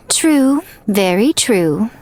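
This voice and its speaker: A woman's voice: fairly high pitch, big pitch swings, very slow, exaggerated voice. A woman voicing a sly, elegant, self-assured shrine priestess in a video game, with a coy, teasing, theatrical manner. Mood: knowing, coy.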